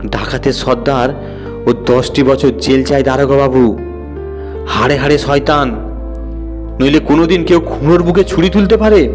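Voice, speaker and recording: A man voicing a sharp-tongued, nagging wife who scolds with scornful certainty in an audio story. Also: medium pitch, moderate pace, long pauses, long reverb tail, faint music behind, close to an everyday voice. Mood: scolding. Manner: scolding, snappish, certain of herself.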